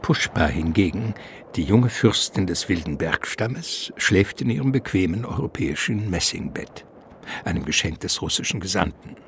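A man narrating a radio play in an expressive, theatrical style, with suspense and irony. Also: low-pitched, moderate pace, a little hiss or hum.

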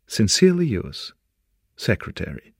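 An actor playing an urbane male agent in a German radio drama, measured and drily ironic. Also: lowish voice, huge pitch swings, vocal fry, breathy edge, exaggerated voice. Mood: courteous, sly. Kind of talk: German radio drama, letter reading and dialogue.

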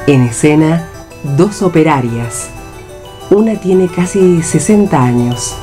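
A woman's voice: low-pitched, animated, takes it slowly, warm tone. A woman announcing and narrating stage directions in a scripted radio drama. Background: prominent music.

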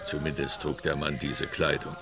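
An actor playing a stern, distrustful older Osage chief in a western radio drama, speaking gravely and curtly. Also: male voice, extremely low pitch, level tone, crowd noise. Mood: bitter, grave. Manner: slow, curt, grave delivery.

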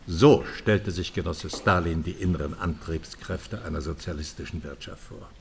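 An old lecturing professor. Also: male voice, sits low, vocal fry, slight background noise. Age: older. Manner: measured, lecturing, grandly ironic. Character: older male professor emeritus, charming, bold, secretive. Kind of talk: German radio play acting.

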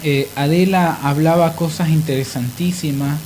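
A man hosting an opinionated radio discussion, emphatic and halting as he builds his points. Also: on the high side, steady tone, a little mellow, slight background noise. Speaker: radio host.

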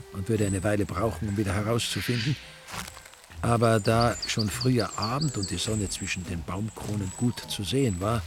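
An actor narrating a radio crime drama in a dry, ironic, literary way. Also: male voice, on the low side, natural voice. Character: wry male narrator of a village crime story. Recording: music behind the voice.

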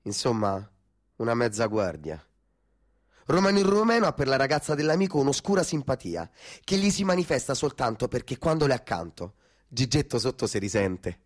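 Mid-pitched, expressive male story narrator. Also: normal speed. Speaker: actor-narrator. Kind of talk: theatrical literary narration for radio.

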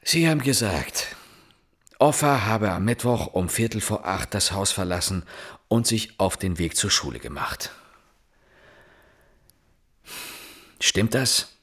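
An actor playing an intense, relentless police inspector in a German radio drama, pressing with insistent, halting questions. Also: male voice, lowish voice, expressive, fairly bright tone, slightly rough, full character voice. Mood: quiet, probing. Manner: insistent, with halting repeated words and pointed questions. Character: male police investigator interrogating, intense, relentless, exasperated.

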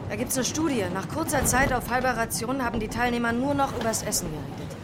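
An actress playing a sceptical, no-nonsense survivor on a boat in a German radio horror drama, speaking in a dry, curt way. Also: female voice, on the high side, expressive, fast talker, noisy background, lightly acted. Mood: dry, annoyed. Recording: loud music behind voice.

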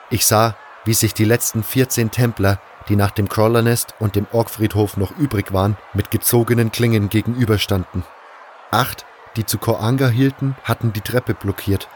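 A man's voice: lowish voice, a little mellow, a little hiss or hum. A man reading scripted first-person narration for an audio drama.

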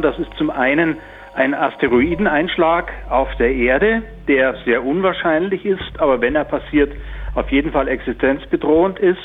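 A man speaking as an academic expert, explaining in an earnest, deliberate way. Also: fairly high pitch. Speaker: expert, professor. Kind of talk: explanatory interview statement. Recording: tinny, narrow phone-like band.

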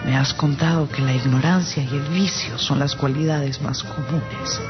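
Radio drama role: haughty, stern monarch, fairly high male voice, solemn declamation, music behind. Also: muffled recording. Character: stern, haughty monarch of giants condemning humankind. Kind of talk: theatrical radio drama acting.

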